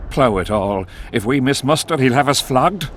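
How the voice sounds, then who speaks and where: An actor playing a coarse, pleading common soldier in a video game, agitated and cursing. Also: male voice, medium pitch, lively intonation, normal speed, fairly bright tone, slight background noise, natural voice. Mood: desperate.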